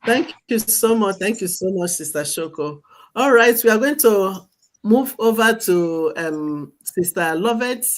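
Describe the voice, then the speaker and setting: A woman's voice: mid-range voice, lively intonation, unhurried, rough recording. A woman hosting a live stream, handing over to each guest and repeating her thanks. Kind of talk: moderating introductions.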